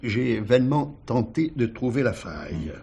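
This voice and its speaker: Thoughtful older retired judge, clear-voiced. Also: male voice, medium pitch, big pitch swings, a little slow, dark tone, heavily acted. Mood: reflective, hesitant. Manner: hesitant, reflective, formal storytelling. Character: thoughtful retired judge uncle haunted by doubt.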